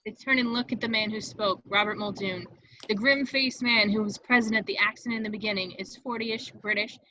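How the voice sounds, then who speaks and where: A woman's voice: mid-range voice, fairly even intonation, fairly quick, bright, forceful tone, a little hiss or hum. A woman reading stage directions as the narrator of a script table read, in a slightly dull, dry home recording.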